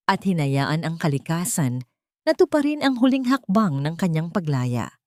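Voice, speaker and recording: A woman reading a scripted dramatic audio story as narrator, in a measured, emotive, literary way. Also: on the low side, darkish tone, dry recording, studio quality.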